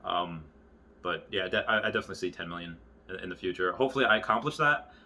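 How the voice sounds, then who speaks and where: Bright-voiced low-pitched man, rambling casually. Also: expressive. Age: early 30s. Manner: rambling, halting, circles back on thoughts. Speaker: YouTuber. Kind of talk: casual unscripted monologue to viewers.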